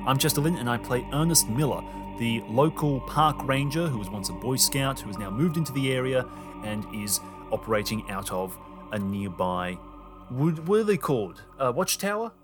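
Playful man hosting, faint music behind. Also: mid-range voice. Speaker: podcast host and role-playing player. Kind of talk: jokey introduction of an improvised audio drama.

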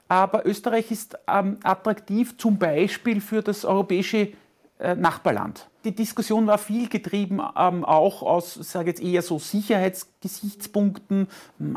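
A woman explains her view to an interviewer, pausing often with filler sounds. Her voice is gravelly with vocal fry. It sits at a middle pitch. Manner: hesitant, many fillers. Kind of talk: interview answer.

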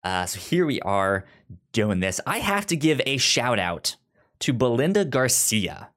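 Man hosting, with lively swoops in pitch. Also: unhurried. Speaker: podcast host. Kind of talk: casual podcast chat.

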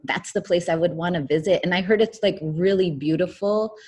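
A young woman from the United States, a guest telling her life story in a relaxed chat, with lots of "um" and "like". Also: on the low side, brisk, husky. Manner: rambling, many fillers like "um" and "like". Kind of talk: casual interview conversation.

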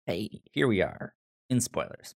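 Male podcast host, casual reviewer. Slow, halting delivery with frequent stammered repeats. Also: fairly low pitch, sing-song, gravelly, heavy background noise.

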